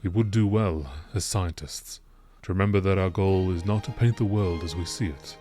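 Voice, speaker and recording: A man reading scripted lore texts in a nature documentary narration style, with measured, deliberate phrasing. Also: sits low, warm tone, soft background music.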